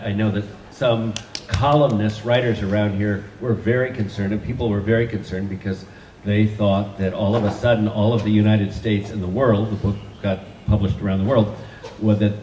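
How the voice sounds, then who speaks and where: A man's voice: on the low side, mellow, reverberant, lo-fi sound, a little hiss or hum. A man, an author and guest from Northern California, talking onstage in an easy, joking way with many fillers. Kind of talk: onstage conversation. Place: event hall with an audience.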